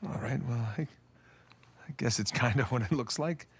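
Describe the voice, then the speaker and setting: A man's voice: mid-range voice, breathy edge. A man playing an earnest, evasive treasure hunter in a cinematic video game, with a natural American delivery. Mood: sheepish, halting. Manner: halting, stammering when cornered.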